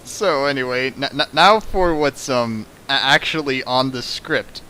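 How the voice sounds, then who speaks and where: A man's voice: medium pitch, a little slow, sharp tone, slight background noise, low-quality recording. A man hosting a casual critical commentary, speaking emphatically and jokily and repeating himself for effect. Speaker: host of a dramatic fan fiction reading. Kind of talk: casual critical commentary, partly read from a script.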